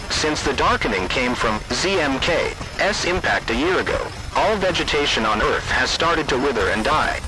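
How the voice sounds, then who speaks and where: A man reading scripted emergency alert announcements in a formal, deliberate manner. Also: mid-range voice, low-quality recording. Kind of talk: scripted emergency broadcast narration.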